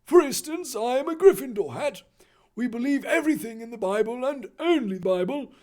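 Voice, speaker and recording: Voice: medium pitch, animated, fairly quick, a little mellow, pitched above the performer's own voice, close to an everyday voice. A performer voicing a kindly old wizard reverend in a story, explaining things earnestly and tentatively. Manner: tentative, well-meaning explaining.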